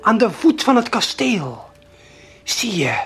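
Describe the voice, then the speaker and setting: A man narrating an audio play in the second person, deliberate and suspenseful, speaking straight to the listener. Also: high voice, big pitch swings, a bit bright, slightly rough. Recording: late 1970s vinyl LP production. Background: prominent music.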